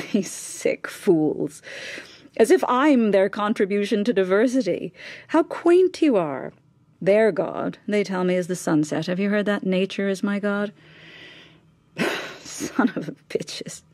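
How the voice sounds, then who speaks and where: Middle-aged well-to-do wife, radio drama, medium pitch, dry close recording, clipped, halting, bitter. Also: female voice, a little mellow, no echo. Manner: clipped, halting, repeating phrases. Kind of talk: naturalistic radio drama acting.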